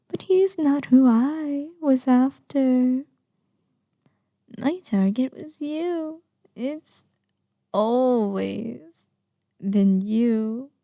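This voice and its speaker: Woman acting obsessive young yandere kidnapper, higher-pitched, sweetly menacing, breathy murmur, ASMR roleplay, very dry sound. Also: dark tone, no room sound, full character voice. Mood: calm menace, then yearning, sly. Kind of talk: intimate ASMR audio roleplay monologue.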